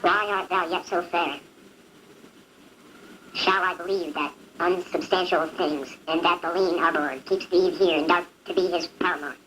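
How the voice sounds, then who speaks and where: Woman acting a slow, solemn Romeo, noisy recording. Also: on the low side, fairly even intonation, a bit bright, natural voice. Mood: tender grief. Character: Romeo, grieving young male lover, solemn and doomed. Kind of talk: amateur Shakespeare puppet show acting. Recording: noisy amateur student recording.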